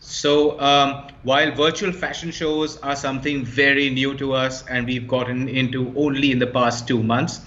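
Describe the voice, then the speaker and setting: A man of medium pitch speaks fluently and with confidence, stressing his numbers and points as he lays out a business problem. The sound is a little dull and lo-fi. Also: flat delivery, moderate pace, hardly any pauses, a bit bright. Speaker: startup co-founder, guest. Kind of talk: explaining his business in an interview.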